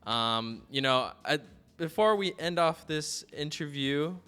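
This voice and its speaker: A man's voice: a little high, animated, a little slow, sharp tone, smooth. A man interviewing an art student, upbeat and affirming, laughing often. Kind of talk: casual interview.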